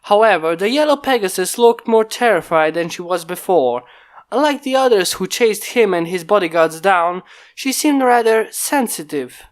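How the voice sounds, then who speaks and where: A hobbyist reader narrating a fan-fiction chapter in a steady storytelling voice, recorded on a failing home microphone that pops. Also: mid-range voice, moderate pace. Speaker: fanfiction reader. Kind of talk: amateur audiobook narration.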